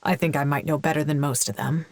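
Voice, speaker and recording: A jaded female worker in an audio drama, recorded very dry. She keeps a personal log with a sardonic, conversational delivery and a low-set voice. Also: steady tone, fast talker, a little mellow, dry recording. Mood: wry, resigned. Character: wry, jaded worker trapped in a facility.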